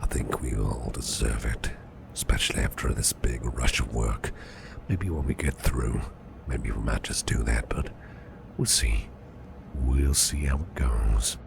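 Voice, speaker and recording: Very deep, gravelly, caring boss played by a man. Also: unhurried, noisy background, exaggerated voice. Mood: tender, reassuring. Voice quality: breathy half-whisper. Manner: soothing, reassuring, affectionate, gently murmured. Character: caring, protective businessman boss comforting an employee. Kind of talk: soft ASMR roleplay, personal attention.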